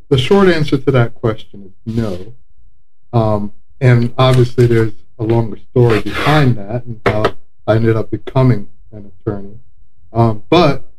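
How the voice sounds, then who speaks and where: A man from South Florida who works as an attorney, speaking in a measured, articulate way on a podcast. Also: mid-range voice, darkish tone, a little hiss or hum, poor audio. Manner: measured and articulate, with some fillers. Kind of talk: casual podcast interview.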